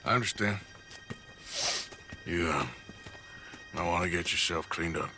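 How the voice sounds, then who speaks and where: An actor playing a weary, gruff American outlaw with a Western drawl, terse and plain-spoken, in a dramatic video game. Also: male voice, low voice, measured pace, bright, forceful tone, faint music behind, exaggerated voice. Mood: subdued, gentle.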